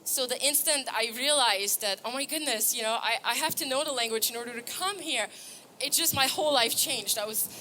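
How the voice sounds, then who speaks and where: A woman's voice: fairly high pitch, brisk, sharp tone, a little hiss or hum, low-quality recording. A young woman from Bulgaria giving an upbeat stage talk at a conference, earnest, laughing often, with many fillers and restarts. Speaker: conference speaker. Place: conference stage before an audience.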